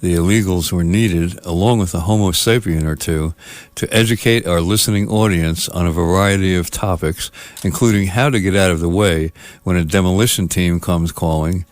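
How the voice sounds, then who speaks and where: A man's voice: low voice, no room sound. A man hosting a radio show, reading a scripted introduction.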